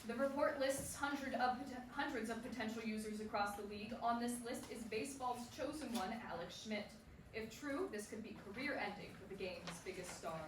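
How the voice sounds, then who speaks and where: A woman's voice: on the high side, moderate pace, natural voice. A woman in a staged play reading playing a dramatic, probing sports commentator and television reporter. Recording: echoey hall, distant mic, faint noise.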